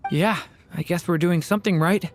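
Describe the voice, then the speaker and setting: An earnest young dragon boy from a game, voiced by a woman. He speaks softly and hesitantly in a lowish youthful voice, with quiet music behind. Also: normal speed, natural voice. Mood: earnest, unsure. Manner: soft-spoken, unsure, earnest. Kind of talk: video game dialogue.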